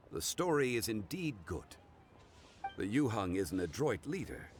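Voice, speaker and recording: An actor playing a wise, courteous gentleman adviser in a video game, speaking in a formal, measured, eloquent way. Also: sits low. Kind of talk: animated video game voice acting. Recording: loud music behind the voice. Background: music.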